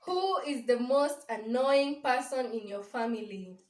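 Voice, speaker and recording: A woman's voice: fairly high pitch, unhurried. Young woman, Kenyan accent, giggly, hesitant, recorded from across an echoey room. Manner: giggly, hesitant, searching for words. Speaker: vlogger. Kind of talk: casual sibling tag chat. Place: small bare room indoors. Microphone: camera across the room. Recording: echoey room, distant mic.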